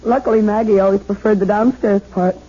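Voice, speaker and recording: A woman's voice: medium pitch, steady tone, a little fast, warm tone, slightly rough, natural voice. A woman playing an anxious, chatty young newlywed bride in an earnest, theatrical 1970s radio drama style. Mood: happy, affectionate. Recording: dull 1970s radio broadcast.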